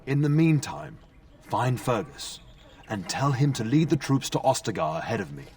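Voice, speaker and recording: A man's voice: mid-range voice, big pitch swings, normal speed, darkish tone, recorded from a distance. An actor playing a commanding yet fond middle-aged noble lord and father in a video game, speaking formally. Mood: earnest, instructing. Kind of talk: video game dialogue acting.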